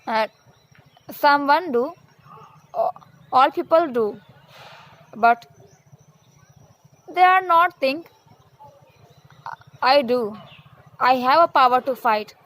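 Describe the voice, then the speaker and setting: A woman, Hindi her native tongue, talks in a high, unhurried voice. Her English is halting and full of restarts, but she keeps repeating her encouragement with earnest conviction. A rooster crows somewhere behind her. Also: animated, fairly bright tone, rough recording. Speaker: vlogger. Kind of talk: motivational monologue, practicing English.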